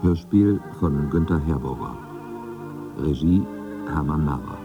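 A man's voice: a bass voice, measured pace, muffled recording, over music. A man reading scripted title credits for a radio play as an announcer.

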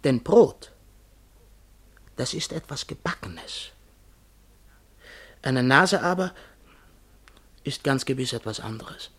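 An actor playing a timid, bewildered henpecked barber in a German radio play, musing in a ponderous, muddled way. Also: male voice, medium pitch, lively intonation, slow, long pauses, a bit bright, breathy edge, full character voice. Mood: baffled musing.

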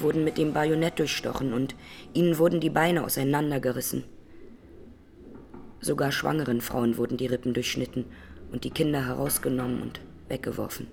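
An actress playing a grieving Armenian massacre survivor who testifies in a radio drama courtroom, plainly, solemnly and with measured words. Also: female voice, deep voice, a little mellow, slight background noise.

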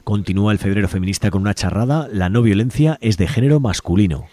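Low-voiced Spanish man hosting radio. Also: quick pace, warm tone, dry recording. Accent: Spanish from Spain. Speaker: radio show host. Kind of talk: reading event listings on a community radio show.

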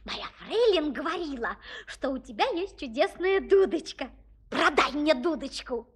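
A woman's voice: high-pitched, sing-song, a little slow. A woman playing a spoiled, haughty young princess in a children's audio play, imperious and whining in a theatrical way. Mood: demanding, coaxing.

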